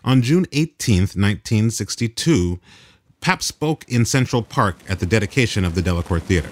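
A man's voice: on the low side, lively intonation, normal speed, dry recording. A man hosting and narrating a documentary in scripted narration. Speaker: host and narrator.

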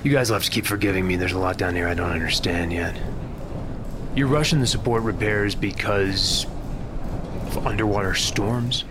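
Man acting a deep-voiced, measured investigator, noisy room. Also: natural voice. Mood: polite. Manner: polite, measured, inquisitive. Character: composed, probing male government investigator. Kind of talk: sci-fi audio drama acting. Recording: loud rumbling industrial ambience.

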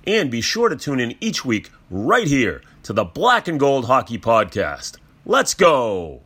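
A man's voice: mid-range voice, wildly swooping pitch, unhurried, a bit bright, slightly rough. A man delivering a scripted promotional read as the sponsor of a show.

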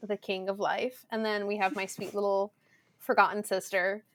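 A woman from Southern California, a podcast guest chatting casually and acting out quotes in a loud, lively way. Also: medium pitch, normal speed, a little hiss or hum. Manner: loud, animated storyteller who acts out quotes.

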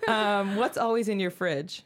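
A woman's voice: mid-range voice, measured pace, over a noisy crowd. A woman co-hosting a podcast, chatting playfully and laughing easily. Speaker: podcast host. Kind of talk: casual chat.

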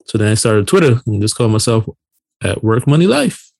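A man's voice: on the low side, very animated, fairly quick, vocal fry, darkish tone, dry recording. A man in his late thirties from Barbados, co-hosting a podcast in casual conversation. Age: late 30s. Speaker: podcast co-host.

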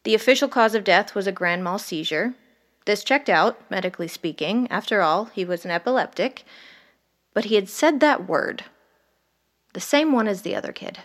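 A woman's voice: mid-range voice, expressive, normal speed, lightly acted. A woman playing a wry, haunted, sleepless ER doctor who narrates a horror audio drama in the first person. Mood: brooding, uneasy. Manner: wry, brooding, literary. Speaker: narrator and lead character.

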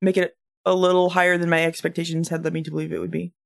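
A podcast co-host talks in a medium-pitched voice. The delivery is casual and conversational, as in a film discussion among friends. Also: flat delivery, very fast, creaky.

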